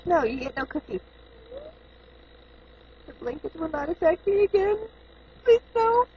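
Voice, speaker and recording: Woman doing a squeaky goofy plushie boy. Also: big pitch swings, a little slow, a little hiss or hum, heavily acted. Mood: silly. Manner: giggling, playful and hammy. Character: goofy deformed boy plushie, giggly and silly. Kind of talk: home video play-acting. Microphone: home camcorder. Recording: dull lo-fi camcorder audio.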